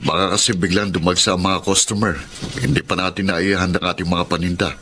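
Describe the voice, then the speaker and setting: An actor playing a resentful, stubborn grown son who sells at market, dismissive, in a radio drama. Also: male voice, low-pitched, little pitch movement, fast, background noise. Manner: grumbling, dismissive. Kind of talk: Tagalog radio drama acting.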